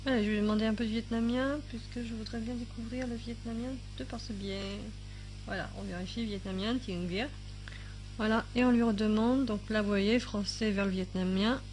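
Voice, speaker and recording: A woman with a fairly high voice talks through a screen-recorded tutorial at her computer. She chats loosely, filling pauses with hesitations and thinking aloud, and sometimes sounds out subtitles in other languages. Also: fairly even intonation, darkish tone, a little hiss or hum, low-quality recording. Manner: chatty, thinks aloud with many fillers. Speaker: YouTube tutorial maker.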